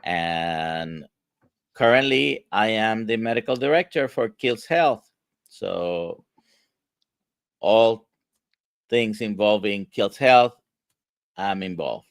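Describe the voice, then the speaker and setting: A man, a physician answering interview questions in a measured way with many hesitations. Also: lowish voice, animated, creaky, no room sound. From Puerto Rico. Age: 60s. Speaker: physician guest.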